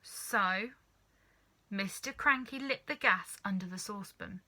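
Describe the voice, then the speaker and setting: A woman reading a children's story aloud in an expressive, deliberate narrating style. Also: medium pitch. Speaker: storybook reader.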